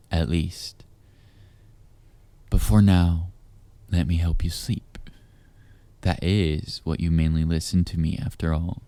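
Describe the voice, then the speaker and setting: A man speaking in a hushed, teasing, deliberate way straight to the listener, as in an intimate audio roleplay, close on the mic. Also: deep voice, no room sound. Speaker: voice actor.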